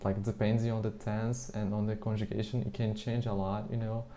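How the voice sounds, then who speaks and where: Mellow-voiced man, Portuguese native. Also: lowish voice, little pitch movement, a little fast, slight background noise. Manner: chatty, hesitant, leans heavily on the filler "like". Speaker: interviewer practicing English. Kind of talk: casual street conversation.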